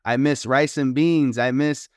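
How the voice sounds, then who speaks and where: A man's voice: mid-range voice, fairly even intonation, measured pace, no echo, clean studio sound. A middle-aged man from New York telling his life story as an interview guest, reflective and mixing in Spanish phrases. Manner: reflective storyteller who slips into Spanish, many "you know" fillers. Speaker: guest, author and consultant. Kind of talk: casual interview talk.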